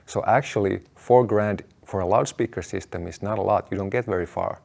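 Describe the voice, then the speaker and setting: Deep voiced guy w lots of vocal fry, explaining stuff step by step in short deliberate phrases. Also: a little mellow. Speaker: host of an audio hobby video channel. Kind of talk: explanatory talk to viewers, point by point.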